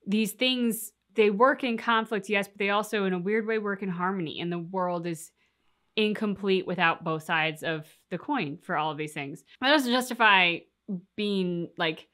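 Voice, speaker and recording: A woman with a medium-pitched voice chats casually as co-host of a reaction channel. She reasons things through reflectively and explains at length in measured phrases. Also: a bit bright. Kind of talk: casual discussion of a video game story.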